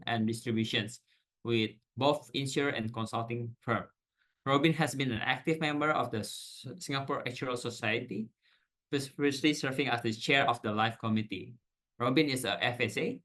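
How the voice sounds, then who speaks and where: A man's voice: mid-range voice, a bit bright. A man moderating a webcast panel over a video call, reading speaker introductions steadily with frequent "uh" fillers.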